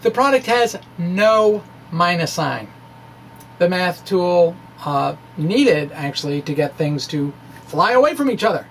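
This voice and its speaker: A man giving a dramatic, conversational reading as a physics explainer, with wry asides and a halting delivery. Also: high-pitched, lively intonation, a little hiss or hum. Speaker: amateur physics presenter.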